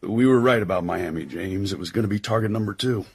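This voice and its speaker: An actor with an American accent playing a tough, loyal intelligence agent in a radio drama, husky, wry and slangy. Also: male voice, fairly low pitch, quick pace. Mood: wry, breezy.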